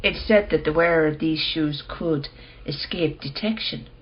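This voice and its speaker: Woman as husky, enigmatic curio dealer. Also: sits low, moderate pace, dull audio. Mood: calm. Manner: understated, unruffled. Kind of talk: radio play acting.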